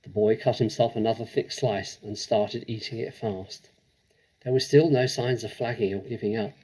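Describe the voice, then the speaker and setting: A man narrating a children's story expressively, with dramatic, measured delivery. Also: lowish voice, steady tone, darkish tone, husky, low-quality recording. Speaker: storybook reader.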